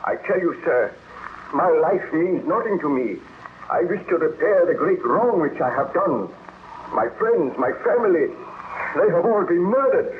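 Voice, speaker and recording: An actor playing an ill, guilt-ridden, desperate baron in old-time radio drama, melodramatic and archaically formal. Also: male voice, on the high side, expressive, measured pace. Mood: anguished. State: weak, exhausted. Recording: tinny, noisy old radio broadcast.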